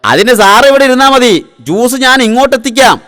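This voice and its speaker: A man's voice: very high voice, expressive, fast talker, fairly bright tone, big-room sound. A man voicing a wily, falsely polite hitchhiking car thief in a comic cartoon, coaxing and ingratiating. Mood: sly, coaxing.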